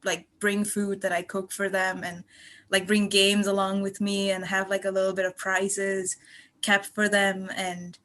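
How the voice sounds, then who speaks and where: A woman's voice: mid-range voice, completely flat delivery, unhurried, a bit bright. Chatty young woman from Malaysia. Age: 20s. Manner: chatty, effusive, rambling storytelling. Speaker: podcast guest and student contributor. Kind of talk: casual podcast chat.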